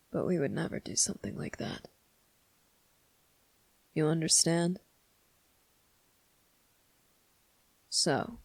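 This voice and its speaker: An actor playing a brooding, menacing demon with a hidden moral code in an intimate ASMR roleplay, speaking in slow, deliberate, taunting phrases with a gravelly, at times breathy voice. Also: mid-range voice, no room sound. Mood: grave, warning. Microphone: close, dry microphone.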